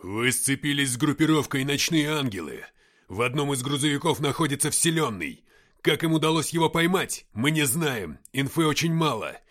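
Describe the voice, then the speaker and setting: A man's voice: medium pitch, sing-song, moderate pace, pushed and bright, slightly rough, heavily acted. A man voicing a brusque army comrade who talks urgently and bluntly in an audiobook war story. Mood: urgent briefing. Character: brusque army comrade reporting over radio under pressure.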